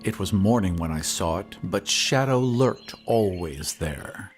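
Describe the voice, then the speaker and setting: Man voicing a low, somber horror-tale surveyor. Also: expressive, unhurried, faint music behind. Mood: uneasy, hushed. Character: somber male surveyor recounting an eerie old tale. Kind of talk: slow, atmospheric audio drama narration.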